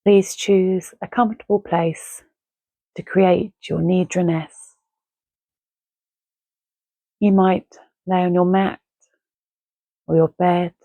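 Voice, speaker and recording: Slow, mellow woman guiding meditation. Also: fairly low pitch, fairly even intonation, no echo. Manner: gentle, unhurried, with long pauses between phrases. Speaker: meditation guide. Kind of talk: guided Yoga Nidra relaxation, soothing and measured.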